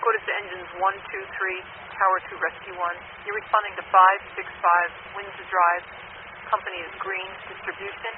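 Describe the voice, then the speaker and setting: A man's voice: high-pitched, level tone, a little fast, phone-quality sound, heavy background noise. A man working as a fire dispatcher, reading out calls over a two-way radio in a procedural way and repeating the details.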